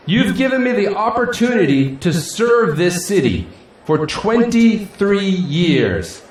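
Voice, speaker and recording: A man acting a middle-aged, self-assured city politician in an audio drama, folksy and grandiose, with dramatic pauses. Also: high-pitched, heavily acted. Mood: jovial, grateful. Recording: echoey hall, faint crowd and noise.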